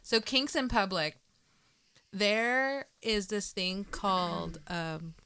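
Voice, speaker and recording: A woman's voice: medium pitch, takes it slowly, bright, forceful tone, a little hiss or hum. A woman bantering casually on a podcast, blunt, slangy and teasing, with some swearing.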